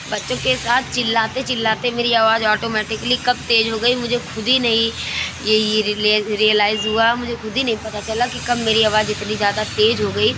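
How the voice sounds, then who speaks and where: Chatty indian mom vlogger lady, pretty even tone, repeats herself, clear traffic-y noise behind. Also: on the high side. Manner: chatty, repeats phrases, searches for words. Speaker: lifestyle vlogger, homemaker and mother. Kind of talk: casual chat about daily routine. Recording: clear background ambient and vehicle noise.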